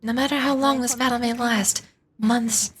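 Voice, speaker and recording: A woman's voice: on the high side, fairly even intonation, moderate pace, close to an everyday voice. A woman playing a solemn, resolute immortal ruler and warrior in a video game, speaking in a formal, grave, declamatory manner. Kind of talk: video game dramatic voice acting.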